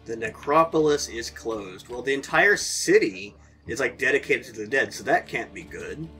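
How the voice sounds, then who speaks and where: A man hosting a game playthrough, chatting casually and rambling, his voice hoarse from a sore throat. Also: mid-range voice, expressive, normal speed, bright, forceful tone, soft background music. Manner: rambling, chatty, laughs often. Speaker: gaming video host. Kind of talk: casual let's-play commentary.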